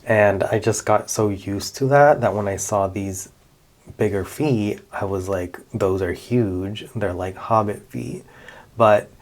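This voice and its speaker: A man, chatting casually in a relaxed vlog about his doll collection, with many fillers and self-corrections. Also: fairly low pitch, slow. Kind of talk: casual show-and-tell vlog.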